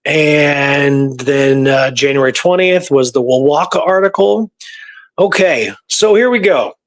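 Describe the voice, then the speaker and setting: A man's voice: on the high side, unhurried. A man talking casually through historical research, rambling and informal, repeating points for emphasis. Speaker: history researcher and show guest or co-host.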